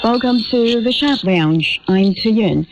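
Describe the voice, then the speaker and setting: A woman's voice: a little high, lively intonation, slow pace, music in the background. A woman hosting a panel discussion on radio, heard over shortwave. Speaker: radio talk show host. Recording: noisy shortwave radio, static and clicks. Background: heavy noise, clicking.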